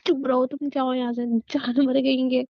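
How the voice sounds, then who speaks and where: A woman's voice: high-pitched, little pitch movement, normal speed, vocal fry. A woman playing a resentful, wounded wife in an audio drama, reproachful and stubborn with a plaintive delivery. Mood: hurt, rejecting. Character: wounded, resentful young wife, defiantly rebuffing her husband. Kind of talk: Hindi audio drama dialogue, emotional acting. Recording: muffled phone-like sound with room echo.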